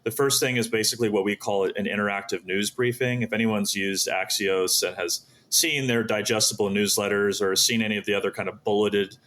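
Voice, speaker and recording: Man, lower-pitched voice, podcast guest, earnest, explanatory, conversational interview talk. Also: steady tone, a little fast, hardly any pauses, fairly bright tone. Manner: earnest, explanatory, asks and answers his own questions. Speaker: podcast guest, startup founder.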